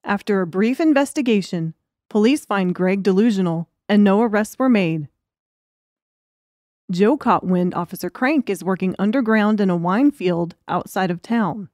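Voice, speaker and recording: A woman's voice: medium pitch, moderate pace, darkish tone, dry recording, clean studio sound. A woman reading scripted story narration as an audiobook narrator.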